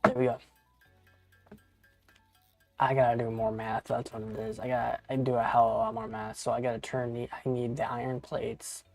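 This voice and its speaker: A man's voice: mid-range voice, little pitch movement, moderate pace, gravelly, long pauses, soft background music. A man in his thirties chatting casually with viewers while playing a game on a live stream, rambling and swearing, often calling people bro and dude. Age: 30s.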